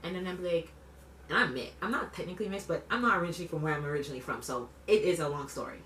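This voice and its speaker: A blunt, outspoken woman in her late twenties from Canada, speaking with a fairly low voice in a casual podcast chat. She drives her points home with plenty of "like"s. The sound is roomy, with the mic set back from her. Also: rapid-fire, a bit bright, recorded from a distance, a little hiss or hum. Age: late 20s. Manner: emphatic, blunt, outspoken, with lots of filler "like". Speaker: podcast co-host. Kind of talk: casual conversational discussion.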